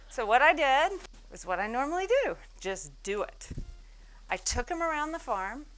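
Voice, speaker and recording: A woman's voice: high-pitched, big pitch swings, measured pace, long pauses, a bit bright, low-quality recording. A woman in her forties giving a business talk on stage, telling her story with self-mocking humor and acting out the people she quotes. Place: event stage before an audience.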